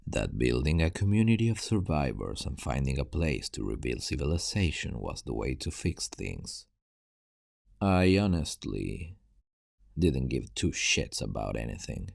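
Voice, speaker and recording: A man acts out a world-weary survivor of a zombie apocalypse for an ASMR roleplay, guarded and terse. The voice is extremely deep and thick with gravel. It moves slowly but swings expressively, recorded dry and close. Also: darkish tone, no echo, full character voice. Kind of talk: ASMR roleplay acting, addressing the listener.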